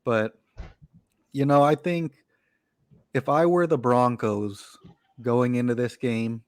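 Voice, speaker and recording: A man's voice: medium pitch, steady tone, no room sound, studio quality. A man hosting a sports podcast, talking football casually with lots of "you know" fillers. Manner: conversational, uses "you know" fillers, quick to laugh. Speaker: podcast host.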